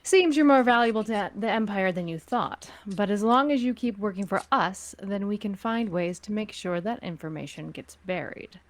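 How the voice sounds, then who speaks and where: A woman playing a cool, condescending female crime syndicate spymaster in a sci-fi script read, with quiet threat in her measured delivery. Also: medium pitch, natural voice. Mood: commanding, threatening. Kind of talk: script table read of sci-fi fan drama.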